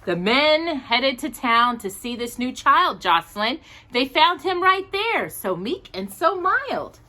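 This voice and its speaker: A woman hosting a children's storytime, reading aloud expressively in a deliberate storybook manner with casual asides. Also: high-pitched. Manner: animated, deliberate storybook delivery.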